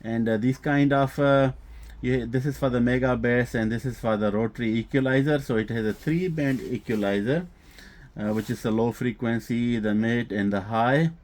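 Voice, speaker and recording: A man's voice: mid-range voice, a little mellow. A man who speaks English as a second language, casually presenting a product for his hobby channel in a hesitant way, with frequent filler sounds. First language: not English. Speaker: host of a hobby audio equipment channel. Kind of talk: casual product showcase vlog.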